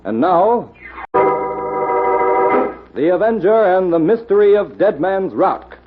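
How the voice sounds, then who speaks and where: Animated, portentous male radio announcer. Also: high-pitched, slow pace, over loud music. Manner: declamatory, portentous. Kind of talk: dramatic 1940s radio announcing. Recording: tinny, phone-like old radio recording.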